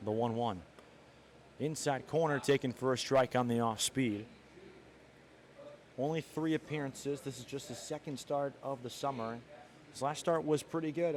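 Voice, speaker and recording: A man with an American accent doing live play-by-play commentary of baseball and softball as an announcer. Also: medium pitch.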